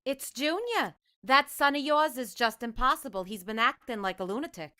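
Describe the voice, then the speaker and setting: She is a fretful housewife in an old-time radio comedy, played by a woman, scolding and worried. Her voice is bright and sharp, with a lively pitch, and it sounds close and dry. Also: normal speed, dry recording. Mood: exasperated. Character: exasperated worried housewife and mother. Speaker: radio play actor. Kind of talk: 1950s radio sitcom acting.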